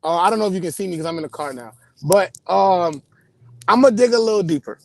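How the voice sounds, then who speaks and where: A man hosting a casual live-stream interview, asking probing questions in a measured way while calling in from his car. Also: high voice, animated, vocal fry, darkish tone, dry recording. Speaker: host.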